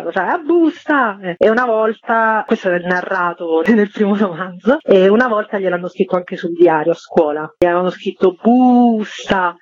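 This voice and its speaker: A woman's voice: medium pitch, dry recording. Chatty woman author on dull radio. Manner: lively, chatty, laughs while talking. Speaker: author guest. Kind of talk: radio interview. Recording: dull dry radio studio sound.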